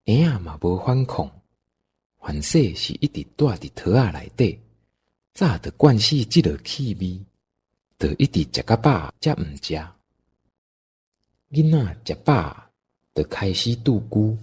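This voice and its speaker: Low husky Taiwanese man telling a story. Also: sing-song, measured pace, long pauses, darkish tone. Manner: folksy, dramatic. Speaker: narrator. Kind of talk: expressive scripted storytelling for audio drama.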